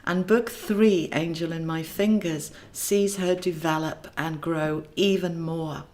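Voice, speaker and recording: Lower-pitched woman reading a story. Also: steady tone, slow, darkish tone. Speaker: author reading own book. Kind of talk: audiobook narration.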